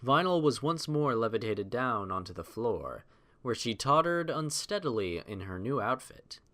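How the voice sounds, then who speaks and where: Expressive man narrating with lively pitch. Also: moderate pace. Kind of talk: scripted story narration.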